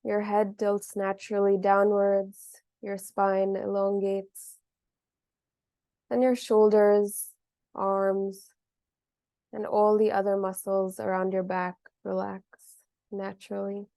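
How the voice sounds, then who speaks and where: A woman guides a meditation in a mellow, relaxed voice with very little rise and fall. Her delivery is gentle and measured, leaving long pauses between phrases. The sound is dry and close, with no room around it. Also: mid-range voice, no room sound. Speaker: meditation teacher.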